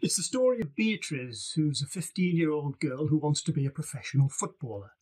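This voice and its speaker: Husky-voiced man narrating a novel. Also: fairly high pitch, expressive, fairly quick, darkish tone. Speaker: author reading own novel. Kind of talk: scripted audiobook narration.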